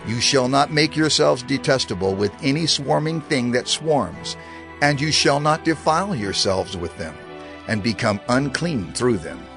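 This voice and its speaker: A man narrating scripture in a dramatized recording, reading in a measured, expressive way. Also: mid-range voice, gravelly. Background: music bed.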